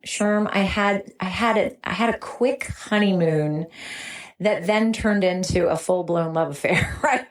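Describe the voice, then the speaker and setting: A woman speaking as an interview guest, sharing in a conversational, earnest and effusive way. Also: medium pitch. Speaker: interview guest, recovery program veteran.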